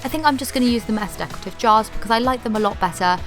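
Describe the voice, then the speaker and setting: Chatty young woman, slightly hoarse. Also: fairly high pitch, rapid-fire, a bit bright, faint music behind. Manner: chatty, upbeat, lots of "like" fillers. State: losing her voice. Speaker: DIY vlogger. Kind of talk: casual DIY tutorial voice-over.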